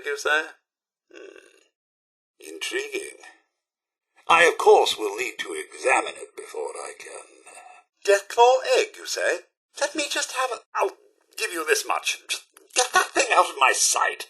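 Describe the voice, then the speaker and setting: A man's voice: on the high side, huge pitch swings, a little slow, bright, forceful tone, breathy edge, exaggerated voice. A male actor playing a prim, loyal, fussy robot butler in a video game, with posh, theatrical British diction. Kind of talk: video game companion dialogue.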